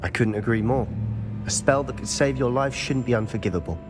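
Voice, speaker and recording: A man's voice: medium pitch, fairly quick, natural voice. A young man acting as a stubborn, defiant teenage schoolboy wizard in a video game, with a British accent and an earnest, intense delivery. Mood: earnest, persuasive. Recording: music playing behind the voice. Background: game score music.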